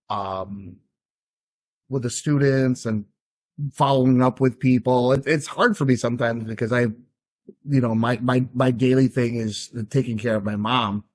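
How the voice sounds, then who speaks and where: A man as a podcast guest, chatting in a relaxed, agreeable way. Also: medium pitch. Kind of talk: casual chat.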